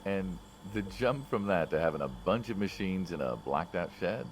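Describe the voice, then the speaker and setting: Very deep, dry veteran hitman. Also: very animated, normal speed, slight background noise. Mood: dryly curious. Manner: dry, understated, inquisitive. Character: dry, probing veteran mob hitman, soft-spoken and patient. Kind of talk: naturalistic video game acting.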